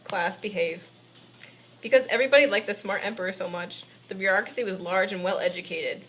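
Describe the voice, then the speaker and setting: A woman's voice: mid-range voice, brisk, fairly bright tone, natural voice. A woman playing a patient puppet history teacher, delivering a scripted lesson to an unruly class in an amateur show. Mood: instructive. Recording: faint background noise, amateur recording.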